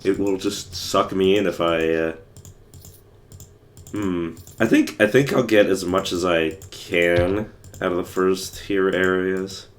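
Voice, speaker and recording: Hoarse young guy losing his voice, real low, rambly game stream chat full of uhs and likes. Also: slow. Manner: rambling, many fillers and restarts. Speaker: livestreamer playing a game. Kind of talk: casual gameplay commentary.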